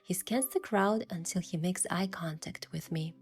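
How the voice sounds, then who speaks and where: A woman's voice: fairly low pitch, moderate pace, close to an everyday voice. Woman as thoughtful young heroine narrating her story. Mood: narrating, earnest. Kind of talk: first-person story narration and game dialogue. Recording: music behind the voice.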